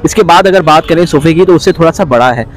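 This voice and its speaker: A man hosting a walking vlog at an outdoor street market, cheerfully pitching furniture and repeating prices back to the viewer. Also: fast, low-quality recording. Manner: upbeat promotional patter, repeats prices back. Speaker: vlog host. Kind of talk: walking market tour, bargaining with sellers.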